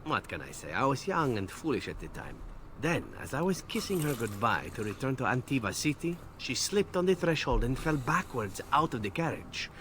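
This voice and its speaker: An actor playing a roguish, flirtatious assassin in a video game, telling tales in a suave, glib, teasing way. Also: male voice, medium pitch, very animated, moderate pace, a bit bright, a little hiss or hum. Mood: breezy, unrepentant. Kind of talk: video game dialogue acting.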